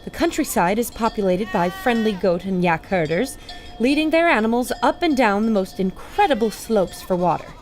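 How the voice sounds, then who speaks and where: An actress playing an earnest, wonder-struck radio reporter narrating an expedition in old-time serial style. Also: female voice, mid-range voice, expressive, moderate pace, faint music behind, lightly acted. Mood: earnest reporting. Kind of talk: 1930s radio reporting acting.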